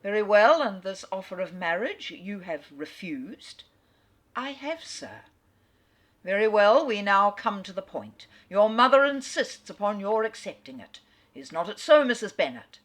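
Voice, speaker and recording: A woman reading aloud as a calm, dryly ironic middle-aged father. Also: mid-range voice, measured pace. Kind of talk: dramatic reading.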